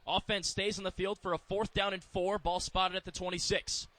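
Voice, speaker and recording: An American man works as a radio football announcer, calling the action live. His voice is bright and forward, pitched on the high side. He describes the field in clipped phrases. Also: little pitch movement, normal speed.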